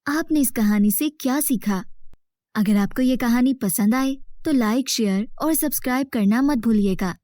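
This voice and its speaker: A woman giving a scripted closing sign-off in a bright, inviting manner. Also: on the high side, normal speed, no echo, studio quality. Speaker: narrator. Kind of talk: scripted channel outro.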